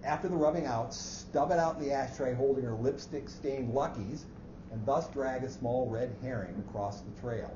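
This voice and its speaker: A man's voice: mid-range voice, moderate pace, natural voice. A man playing a fussy, precise office clerk who coolly plots murder, speaking formally in a staged story reading. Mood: scheming. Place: echoey hall at a live reading. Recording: muffled, noisy, echoey hall recording.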